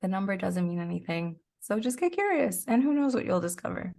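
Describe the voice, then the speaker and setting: A woman's voice: medium pitch, very animated, a little fast, gravelly, mellow. A woman speaking as an interview guest, direct and emphatic, in short declarative sentences. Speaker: interview guest, performer and podcaster. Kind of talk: conversational interview talk.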